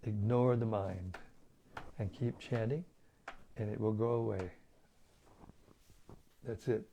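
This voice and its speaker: A hoarse man losing his voice, hosting a daily scripture reading in a relaxed, chatty way over an online video stream. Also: on the low side, takes it slowly, highs cut off, slight background noise. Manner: relaxed, chatty, with light chuckles. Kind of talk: casual talk and Q&A on scripture.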